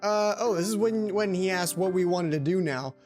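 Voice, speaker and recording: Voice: medium pitch, normal speed, a little mellow. A gaming host reading a visual novel aloud, dramatizing it and breaking off into offhand asides, sometimes stumbling over lines. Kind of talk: let's play, reading visual novel aloud with casual commentary. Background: game music.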